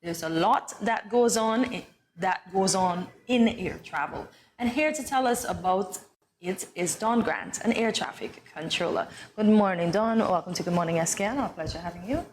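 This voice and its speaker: A woman's voice: mid-range voice, moderate pace. Female morning TV host, mid-range voice, interview presenting over light noise. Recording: faint background noise.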